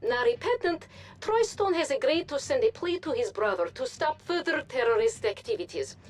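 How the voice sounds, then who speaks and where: A woman's voice: sits high, sing-song, moderate pace. A woman playing a polished, formal TV news anchor who reads state propaganda dramatically in a video game. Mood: formal, grave. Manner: formal, dramatic newsreader delivery. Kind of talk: scripted TV news broadcast.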